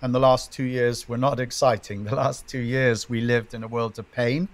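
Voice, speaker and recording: A middle-aged man answering interview questions as a venture investor. He speaks in a measured, emphatic way and builds his points in lists of three. His pitch sits in a typical male middle range. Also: steady tone.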